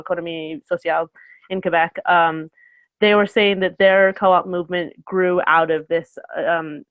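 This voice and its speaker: A woman's voice: lowish voice, no echo. A woman in her twenties, a guest talking casually on a video call, rambling with many fillers and self-corrections. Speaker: guest speaker. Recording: tinny phone-like call audio.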